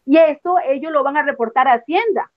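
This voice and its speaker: High-voiced woman over dull call audio. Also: brisk, dry recording. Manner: frank, emphatic, laughs off sensitive points. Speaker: guest, former member. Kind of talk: testimony in a video-call interview.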